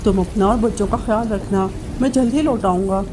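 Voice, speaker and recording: A woman's voice: medium pitch, brisk, natural voice. A woman voicing a worried poor farmer father pigeon in a cartoon, earnest and fretful. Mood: concerned, insistent. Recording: noisy, rain-like storm sounds behind. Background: rain and thunder.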